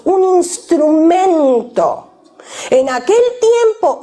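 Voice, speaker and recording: A woman preaches in a high, bright voice that swoops up and down constantly. She speaks with emphasis and turns often to the listeners with direct questions. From Argentina. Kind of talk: sermon to a women's gathering.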